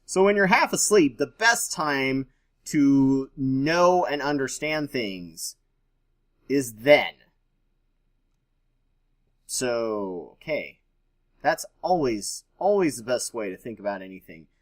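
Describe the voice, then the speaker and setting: A man's voice: medium pitch, big pitch swings, takes it slowly, long pauses. A man reading aloud and adding his own informal commentary as host, with a skeptical, dryly sarcastic manner.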